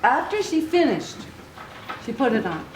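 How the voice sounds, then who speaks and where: A woman reading a story aloud to young children in an expressive way, with little asides to her listeners. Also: high-pitched, moderate pace. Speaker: children's author reading own book aloud. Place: book signing event in an echoey room. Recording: echoey room recording with clear noise.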